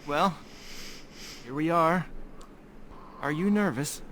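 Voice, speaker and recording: An actor playing an earnest, polite young male elf bridegroom in a fantasy video game, gentle and courteous. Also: a little high, slow, a little hiss or hum. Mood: tender, nervous.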